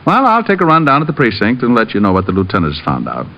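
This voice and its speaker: A man's voice: mid-range voice, wildly swooping pitch, quick pace, vocal fry, fairly bright tone, exaggerated voice. A man playing a wry, easygoing private detective, wisecracking and narrating in 1950s radio drama style. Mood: relaxed. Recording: dull old radio recording, music behind.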